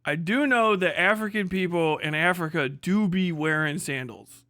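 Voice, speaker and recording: A man's voice: high-pitched, moderate pace, sharp tone, dry recording, clean studio sound. A man live streaming in casual reaction chat, talking in an exclamatory, profane way.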